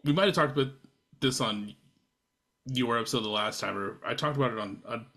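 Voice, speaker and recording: A man's voice: mid-range voice, a little fast, sharp tone. A man hosting a casual podcast chat, rambling a little and laughing along with his guests. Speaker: podcast host. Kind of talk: casual chat over beers.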